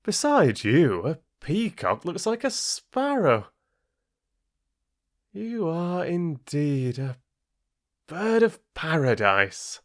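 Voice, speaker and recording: A man voicing a sly male fox who flatters a crow in smooth, wheedling tones, pitched a little above his own voice. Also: slow pace, pauses often, full character voice. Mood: flattering.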